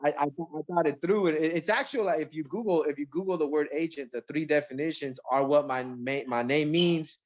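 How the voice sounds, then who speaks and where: A man from Mexico and Central California, a rapper, chatting casually in an interview with a halting, repetitive delivery full of filler phrases. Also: fairly high pitch, muffled recording. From born in Mexico, grew up in Central California. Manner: halting, repeats words, frequent "you know" and "like".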